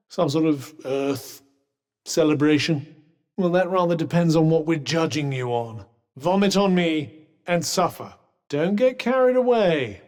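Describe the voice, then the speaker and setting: A man's voice: fairly high pitch, big-room sound. A man voicing a sardonic male talking magic bracelet in a video game, with dry teasing and arch, formal phrasing.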